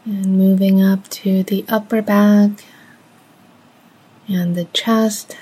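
A soft-spoken woman leading a guided meditation in gentle, measured phrases with long pauses. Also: mid-range voice, level tone, slightly rough, poor audio.

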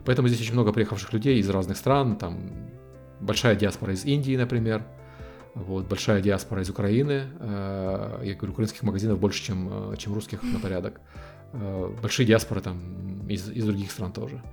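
A man's voice: lowish voice, steady tone, fairly quick, vocal fry, a little mellow, faint music behind. A man chatting casually as a guest, hesitant with many fillers. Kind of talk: casual conversation. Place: living in the United States.

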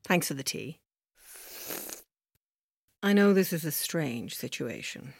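A woman's voice: sits low, animated, takes it slowly, vocal fry, breathy edge, heavily acted. An actress playing a probing Irish policewoman in an audio drama. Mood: polite. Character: probing Irish policewoman, businesslike then teasing.

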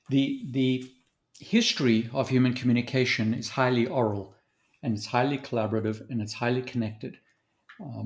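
A man in his fifties with a Southern African accent, a guest in an interview, talking thoughtfully with frequent restarts and pauses. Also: mid-range voice. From born in Zambia, raised in South Africa. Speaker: guest consultant. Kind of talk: conversational interview.

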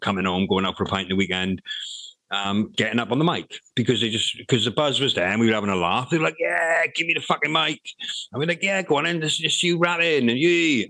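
Middle-aged man, low voice, dry close recording, rambling and sweary interview chat. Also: pushed and bright, no echo, rough recording. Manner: rambling, swears freely, many restarts. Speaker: interviewee, hip hop artist.

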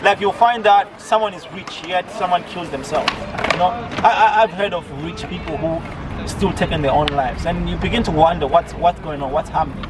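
A man's voice: high-pitched, moderate pace. A man, earnestly preaching outdoors and repeating himself often. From Zimbabwe. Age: 20s. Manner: earnest, repetitive, many restarts. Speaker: street evangelist. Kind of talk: interview answers, preaching. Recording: distant mic, loud outdoor traffic noise.

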